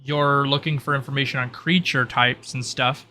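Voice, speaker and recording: A man's voice: medium pitch, fairly even intonation, normal speed, bright, forceful tone. A man acting as game master, explaining options in a hesitant, improvised way over an online voice call. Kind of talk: improvised narration of an online tabletop roleplay.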